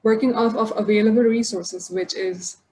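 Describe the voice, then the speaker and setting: A woman's voice: mid-range voice, steady tone, normal speed, darkish tone, poor audio. Composed woman scholar, faint background hum. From Pakistan. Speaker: doctoral scholar and former lecturer. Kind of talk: prepared policy statement.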